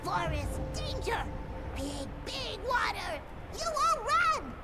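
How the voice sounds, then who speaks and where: An actor voicing a small, excitable forest creature that speaks in broken, childlike phrases for a video game. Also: extremely high pitch, takes it slowly, loud music behind the voice, heavily acted. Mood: alarmed. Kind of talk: video game character acting.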